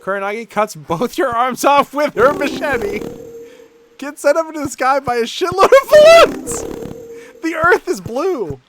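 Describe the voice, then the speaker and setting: High-pitched irreverent man streaming a game. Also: very animated, measured pace, very noisy. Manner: irreverent, sweary, amused by absurd text. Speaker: streamer playing a video game. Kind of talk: reading game text aloud with live reactions.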